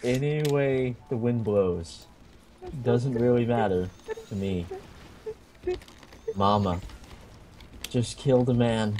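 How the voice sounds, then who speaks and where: A man on voice chat reciting song lyrics slowly as spoken poems, close to giggling. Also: medium pitch, dark tone, a little hiss or hum. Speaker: gamer on voice chat.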